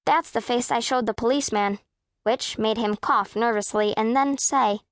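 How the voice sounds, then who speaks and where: Children's audiobook narrator: woman as proud, composed girl of eleven, high voice, precise delivery, dry studio sound. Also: normal speed, dry recording, close to an everyday voice. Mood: composed, wry. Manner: precise, composed, matter-of-fact. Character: self-possessed, proud, scowling eleven-year-old girl narrator. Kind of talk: first-person audiobook narration of children's fiction.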